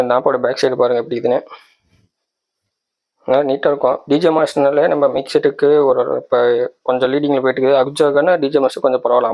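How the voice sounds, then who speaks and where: A man's voice: mid-range voice, a little mellow. A man giving a casual product walkthrough, explaining step by step and often checking that listeners follow. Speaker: tech channel presenter.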